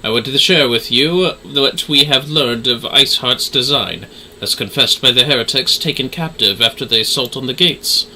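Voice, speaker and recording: Very bright-voiced man livestreaming a game. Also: mid-range voice, moderate pace, a little hiss or hum, rough recording. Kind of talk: gaming livestream chat and reading game dialogue aloud.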